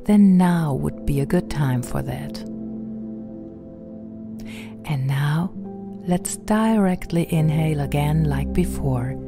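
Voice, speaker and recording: Slow, low-voiced woman guiding meditation. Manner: gentle, soothing, unhurried, softly spoken with breathy passages. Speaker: meditation guide and podcast host. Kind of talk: guided meditation narration. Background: background music.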